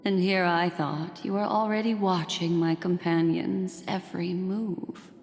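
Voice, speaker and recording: A woman's voice: lowish voice, steady tone, unhurried, a little mellow. An actress playing an elegant, composed young woman in a video game, coy and politely sardonic. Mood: coy defiance. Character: elegant, teasing, composed young female memory keeper. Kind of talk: dramatic video game voice acting. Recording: long reverb, music underneath.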